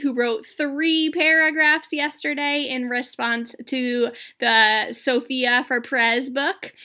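Bright, high-voiced woman addressing schoolchildren. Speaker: elementary school educator reading aloud. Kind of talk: upbeat read-aloud address to young students. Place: small plain room indoors. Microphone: laptop webcam at arm's length.

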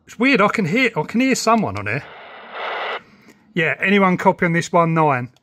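A man's voice: high-pitched, big pitch swings, moderate pace, fairly bright tone. Chatty middle-aged southern English man. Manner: chatty, informal. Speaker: radio hobbyist vlogger. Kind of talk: casual vlog chat and radio calls. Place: house and back garden.

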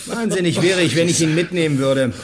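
A man's voice: on the high side, brisk, very noisy, lightly acted. An actor playing a bossy, sardonic man who leads a group of survivors in a German radio drama. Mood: commanding, defiant. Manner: commanding, sardonic.

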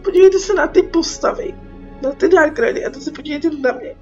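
A woman's voice: high voice, soft background music. A young Czech woman giving casual, sarcastic let's play commentary, informal and sweary. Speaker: gaming YouTuber. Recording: dull lo-fi mic with faint noise.

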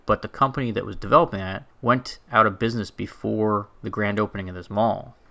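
Male vlogger, young, lowish bright voice, chatty and loose. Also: creaky. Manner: chatty, conversational, with fillers and restarts. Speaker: travel vlogger. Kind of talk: casual narrated walking tour.

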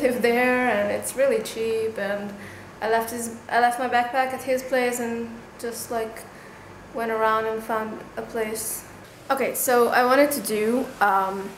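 Young woman chatting slowly, swearing casually. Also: fairly high pitch, roomy sound, a little hiss or hum, rough recording. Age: 20s. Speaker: travel vlogger. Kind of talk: casual vlog with room tour. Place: small rented room in a residence. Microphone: handheld camera at arm's length.

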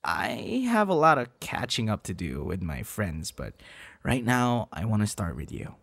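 A man playing a withdrawn, tender young man in an intimate boyfriend roleplay, speaking softly and haltingly. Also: on the low side, wildly swooping pitch, creaky, full character voice. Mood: warm, upbeat. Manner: hesitant, halting, soft-spoken. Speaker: audio roleplay performer.

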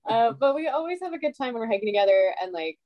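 A woman's voice: fairly high pitch, animated, fast, lo-fi sound. A young woman, a long-distance hiker, chatting over a video call from a small room with a dry, wry humor. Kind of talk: casual video call chat.

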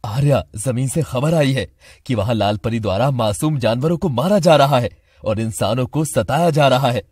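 A man's voice: medium pitch, moderate pace, darkish tone, breathy edge, dry recording, studio quality, natural voice. A man voicing a grave, proud middle-aged king and father in a cartoon. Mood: grave, concerned. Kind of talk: Hindi cartoon voice acting.

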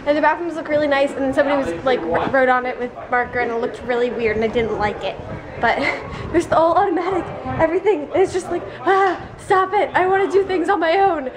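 A woman's voice: high voice, fairly quick, a crowd in the background. A young woman chatting casually into a handheld camera, giggly and rambling. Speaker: vlogger. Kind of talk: casual vlog chat. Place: school halls, outdoors and home bathroom. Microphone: handheld camera at arm's length.

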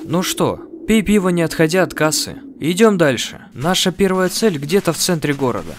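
A man voicing a bossy, curt scientist leading an expedition in an animated web series, insistent and businesslike. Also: on the high side, normal speed, slight background noise.

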